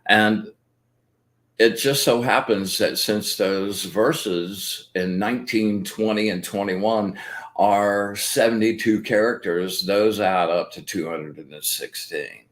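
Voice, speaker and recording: Deep-voiced man hosting a rambling spiritual talk. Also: slow pace. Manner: rambling and enthusiastic, many fillers; explains step by step. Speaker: host.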